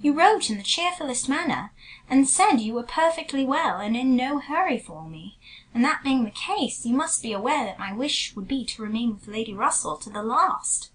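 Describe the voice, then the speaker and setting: Sensible, patient sister in a period novel, fairly high voice with very varied pitch, polite and gentle female actor performing. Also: lively intonation, moderate pace, low-quality recording. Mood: patient, reasoning. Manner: polite, reasoning. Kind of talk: dramatised classic novel reading.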